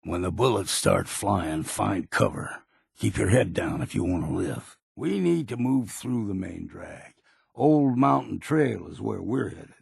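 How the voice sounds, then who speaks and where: Gravelly, gruff old island hunter. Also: male voice, fairly low pitch, animated, measured pace, darkish tone, no room sound, studio quality, heavily acted. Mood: steady, watchful. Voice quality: gravelly, raspy. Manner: gruff, blunt, folksy rural drawl. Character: gruff, hard-drinking older island hunter, a wary male guide. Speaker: companion character in a video game. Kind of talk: video game voice lines.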